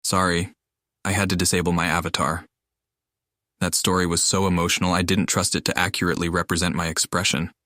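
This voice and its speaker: A man's voice: low-pitched, little pitch movement, fairly quick, bright, forceful tone, no echo, studio quality. A man, a gaming streamer, giving reflective personal commentary on a game he plays.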